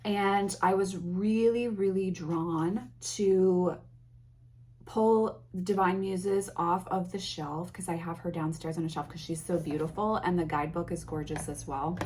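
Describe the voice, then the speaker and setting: A woman's voice: on the low side, fairly even intonation, unhurried, a little mellow. A woman chatting casually and effusively to her viewers as a tarot vlogger, a little sniffly from allergies. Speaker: tarot content creator. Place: home.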